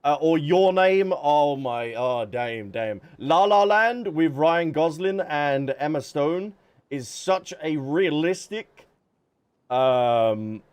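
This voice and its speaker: A man's voice: on the high side, animated, takes it slowly, clear, resonant tone. A man streaming a game, chatting casually and thinking aloud as he answers viewers. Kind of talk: casual livestream chat while gaming. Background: background music.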